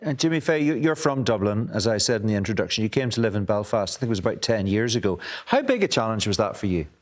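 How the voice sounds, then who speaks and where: A man's voice: fairly low pitch, sing-song, fast talker. A man presenting a current affairs discussion, introducing guests and putting probing questions, sometimes with small hesitations.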